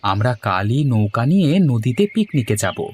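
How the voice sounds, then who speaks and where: A man's voice: medium pitch, sing-song, normal speed, a little hiss or hum, lightly acted. A man playing a sociable, persuasive village man in a cartoon, explaining and coaxing good-humouredly. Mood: upbeat, persuasive. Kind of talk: cartoon dialogue acting.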